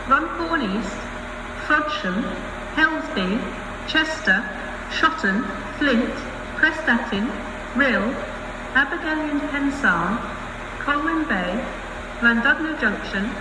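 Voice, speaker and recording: A British woman reading scripted train platform announcements in measured segments, listing stations over a station public address system. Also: high-pitched, distant mic. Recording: echoey station PA from afar, noisy ambience.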